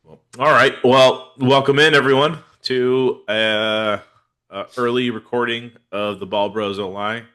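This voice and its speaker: A man's voice: mid-range voice, steady tone, a little slow, bright, forceful tone, smooth, low-quality recording. A man with a head cold, hosting a casual football podcast in a laid-back, rambling way with lots of fillers. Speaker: podcast host. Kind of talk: casual fantasy football chat.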